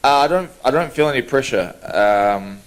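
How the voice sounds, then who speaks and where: A young man, answering reporters' questions in an easygoing, relaxed way at a media day. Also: medium pitch, normal speed, low-quality recording. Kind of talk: press scrum answers.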